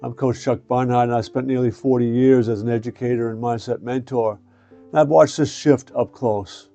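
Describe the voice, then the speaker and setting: An older man speaking as a show host and coach, with an earnest, deliberate way of talking. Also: mid-range voice, fairly even intonation, dark tone, soft background music. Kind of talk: scripted promo and introduction, then casual interviewing.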